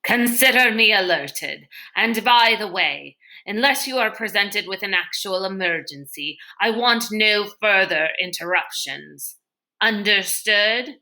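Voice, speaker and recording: An imperious male villain from a storybook, acted out by a woman, cold and irritable. The speech is formal, slow and clipped, with a forceful edge and biting sarcasm. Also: mid-range voice, animated, rough recording. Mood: cold, sarcastic.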